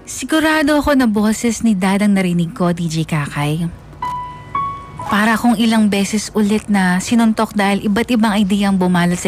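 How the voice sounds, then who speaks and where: A woman narrating a dramatised first-person radio story, mixing English into her Filipino. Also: mid-range voice.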